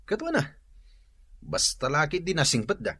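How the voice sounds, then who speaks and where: An actor playing an inquisitive neighbour husband in an Ilocano radio drama. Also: male voice, fairly high pitch, huge pitch swings, normal speed, breathy edge, exaggerated voice. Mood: curious. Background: loud music.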